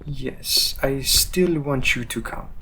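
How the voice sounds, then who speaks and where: A man playing a cocky, loyal, world-weary assassin with a wry, teasing, drawn-out delivery in a roleplay audio drama. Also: mid-range voice, pushed and bright, slight background noise.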